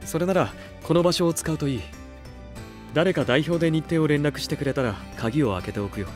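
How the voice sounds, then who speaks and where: Gentle, earnest young label boss played by a man. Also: fairly high pitch, soft background music, natural voice. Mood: supportive. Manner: sincere, encouraging, measured. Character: earnest adult man, gentle supportive new music label head. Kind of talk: Japanese anime voice drama acting.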